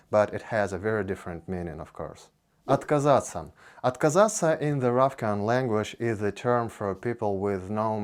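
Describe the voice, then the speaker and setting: Low-voiced Russian-accented man explaining. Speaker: host of a language explainer video. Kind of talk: informal educational explanation to camera.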